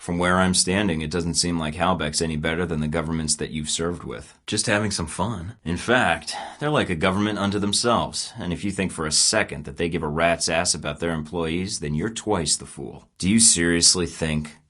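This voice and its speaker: A cocky, low-voiced rogue spy. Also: male voice, moderate pace, hardly any pauses, fairly bright tone. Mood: sardonic. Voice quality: sarcastic, taunting. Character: wisecracking male rogue spy, cocky and sarcastic. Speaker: video game protagonist. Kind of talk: game voice lines.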